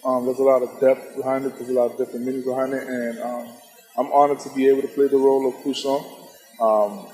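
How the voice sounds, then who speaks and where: A young man gives considered interview answers in a medium-pitched voice. He speaks articulately, with the long reverb of a hall around him. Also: level tone, fast, dark tone. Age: young adult. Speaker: stage actor being interviewed. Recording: very echoey hall.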